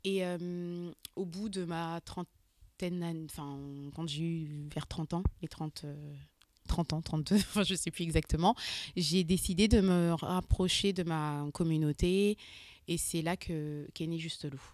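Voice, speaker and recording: A woman in her thirties from France talks as an interview guest. Her voice sits fairly low, and she pauses often with fillers as she explains herself. The sound is dry, with no room echo. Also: steady tone, a little mellow. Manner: hesitant, many pauses and fillers. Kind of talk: casual interview talk.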